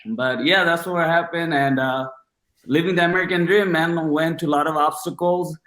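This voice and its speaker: A man's voice: fairly high pitch, fairly even intonation, normal speed, fairly bright tone. Roomy-sounding young man from Bangladesh, casual. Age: 20s. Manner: informal, slangy, enthusiastic. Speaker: podcast guest. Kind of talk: casual personal storytelling.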